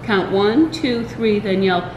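An older woman reading a children's storybook aloud, expressive and deliberate, with small stumbles. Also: medium pitch, lo-fi sound. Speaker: library story time reader. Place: indoors in a large library room. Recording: roomy echo, faint background room noise.

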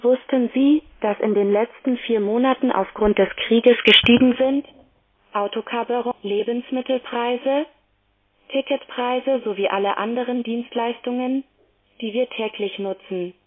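A woman reads a scripted sales announcement. Her voice sits a little high and comes through a narrow, tinny phone connection. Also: steady tone, measured pace. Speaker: automated call announcer. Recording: tinny phone line recording.

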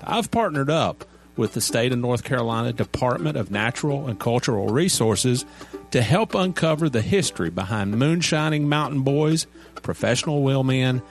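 Podcast guy plugging a show, animated voice, bit of music behind. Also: mid-range voice. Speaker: podcast host. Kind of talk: scripted podcast promo. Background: light music.

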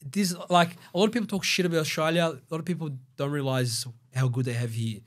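Male guest, 40s, lively slangy storyteller, studio podcast mic. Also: on the high side, quick pace. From Brazil. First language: Portuguese. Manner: animated, slangy, restarts and repeats words. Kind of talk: casual interview storytelling. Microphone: studio mic on boom arm.

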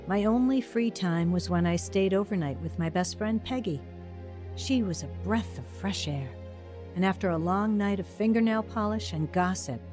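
A woman playing a narrator who looks back on her troubled youth in measured, reflective audio drama storytelling. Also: mid-range voice, mellow, natural voice. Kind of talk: scripted first-person dramatic narration.

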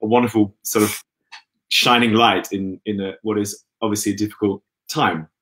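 A man in his thirties from Western Australia talks as a guest on a video call from his home. His voice sits fairly low, and he speaks loosely and hesitantly, often pausing to restart a thought. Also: steady tone, fairly bright tone, low-quality recording. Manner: easygoing, hesitant, many ums and restarts. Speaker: guest, opera singer. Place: indoor room at home. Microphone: laptop webcam on video call.

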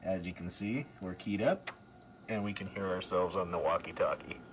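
A man's voice: low-pitched, normal speed. A man giving a casual, plainspoken hands-on demonstration in an indoor workshop. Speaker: host of a radio repair video. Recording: clear background noise, workshop ambience.

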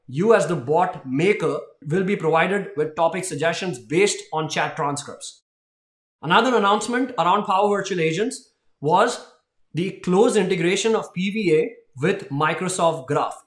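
A man's voice: sits high, fairly bright tone. A man in his thirties giving an explanatory tech announcement presentation, explaining step by step with emphasis. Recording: roomy indoor echo.

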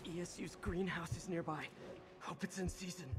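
An actor playing a young male superhero in a video game, talking to himself in quick, wry asides. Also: sits high, fairly even intonation, background noise, lightly acted. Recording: game audio with music underneath.

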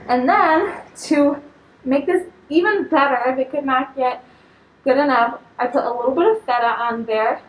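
A woman's voice: high-pitched, a little hiss or hum. A young woman giving an upbeat, instructive cooking demonstration in a large kitchen, talking as she works with food. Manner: upbeat, instructive, talks while handling food. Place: large studio kitchen indoors. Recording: echoey kitchen, mic a bit distant.

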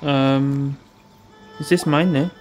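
A woman gives casual let's play commentary in short reactions. She speaks slowly and without hurry, with faint music from the game behind her. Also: extremely low pitch, fairly even intonation, a little mellow. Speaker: video game streamer.

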